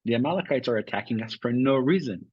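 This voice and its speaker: Israeli man, husky voice, dry sound. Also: mid-range voice, expressive, fast, darkish tone, dry recording, dull audio. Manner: thoughtful, with frequent restarts and uh fillers. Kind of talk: interview answers.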